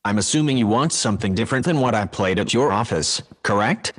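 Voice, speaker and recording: Sci-fi house computer voiced as a man: lowish text-to-speech male, measured, courteous, helpful. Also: expressive, fairly bright tone. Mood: polite, helpful. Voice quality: synthetic text-to-speech sound. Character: polite, calm, helpful male AI house assistant. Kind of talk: sci-fi audio drama.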